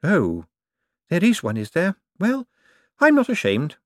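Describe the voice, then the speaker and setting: A man voicing a sharp-tongued, defiant elderly woman in an audiobook. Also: sits high, huge pitch swings, measured pace, dry recording, studio quality, pitched above the performer's own voice, exaggerated voice. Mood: tart, defiant.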